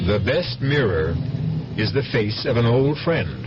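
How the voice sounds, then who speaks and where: Guy narrating old radio mystery, slow n ominous, muffled, music behind. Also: medium pitch, big pitch swings. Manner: deliberate, ominous, with dramatic pauses. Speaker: host and narrator. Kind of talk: scripted dramatic 1970s radio narration. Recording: muffled 1970s radio broadcast.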